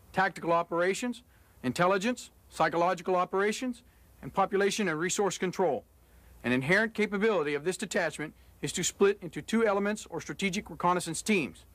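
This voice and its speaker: A man's voice: high-pitched, a bit bright, dry recording. A man serving as a military officer, reciting a formal scripted introduction to his duties.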